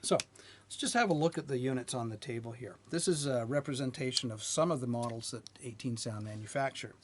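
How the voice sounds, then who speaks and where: Middle-aged male engineer, mid-pitched, steady whiteboard teaching delivery. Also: slight background noise. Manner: plain, measured teaching delivery with light restarts. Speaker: R&D manager presenting a technical lesson. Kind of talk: explaining at a whiteboard.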